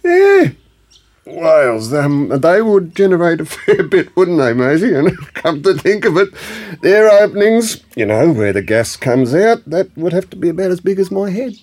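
A man's voice: a little high, very animated, normal speed, darkish tone. An actor playing a worried, gullible older grandfather in a comic audio drama, earnest, blunt and a little hesitant. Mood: curious.

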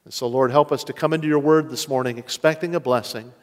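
Earnest preaching pastor in a reverberant hall. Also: male voice, medium pitch, fairly even intonation. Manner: earnest preaching, slowing to stress key words. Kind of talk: sermon. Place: church sanctuary. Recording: long reverb of a large hall.

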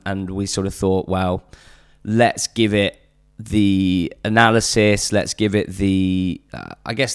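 A man's voice: on the low side, clear, resonant tone. An English man guesting on a football podcast, chatting earnestly with lots of fillers and restarts. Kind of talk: casual podcast chat.

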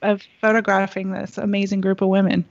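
A woman hosting an interview, speaking haltingly and repeating words as she frames her questions. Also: mid-range voice, level tone, highs cut off. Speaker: interviewer host.

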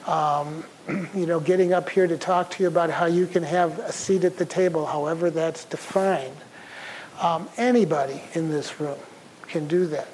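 Soft-voiced older man giving a talk. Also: a little high, steady tone, moderate pace, slight background noise, poor audio. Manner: conversational, many fillers and throat clearing. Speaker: speaker. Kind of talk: conference talk.

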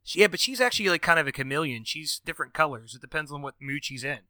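Fast, bright-voiced teasing man. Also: on the high side, expressive, no echo. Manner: rambling, teasing, lots of fillers and restarts. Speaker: podcast co-host. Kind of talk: casual podcast banter.

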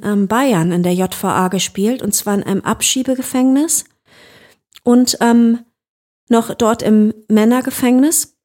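A woman with a fairly high voice speaks as a podcast guest. She talks reflectively and searches for words, often restarting with small fillers. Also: a little mellow. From Germany. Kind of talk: reflective interview talk.

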